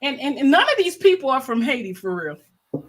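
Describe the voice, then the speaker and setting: An American woman with a high-pitched voice speaks as a livestream host. Her commentary is casual and impassioned. She is emphatic, often repeating phrases to stress a point. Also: sing-song, moderate pace.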